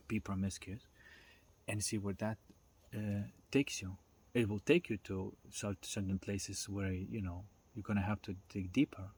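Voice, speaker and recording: A man giving a casual, unscripted philosophical talk in a halting, reflective way, thinking aloud and pausing often. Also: on the low side, a little mellow, slightly rough. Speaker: spiritual self-development speaker.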